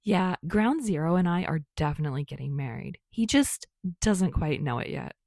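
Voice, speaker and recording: A woman's voice: sits low, expressive, normal speed, darkish tone, no echo, clean studio sound. A woman voicing a cheerful, playful young male barista with a teasing, sing-song manner in a story reading. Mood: amused, easygoing.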